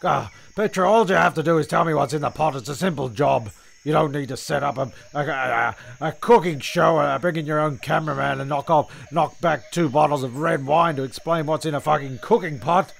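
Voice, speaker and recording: A man's voice: on the high side, brisk, gravelly. A man hosting a comedy sketch, mixing stumbling improvised narration with laid-back, matey banter.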